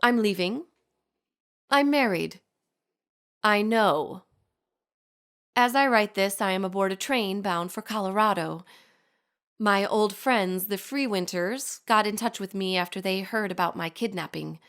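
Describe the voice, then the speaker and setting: A woman's voice: medium pitch, measured pace, pauses often, a bit bright, clear, resonant tone. A woman playing a genteel young society lady in an audio drama, reading her own letter aloud with formal, refined diction. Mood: composed, confiding.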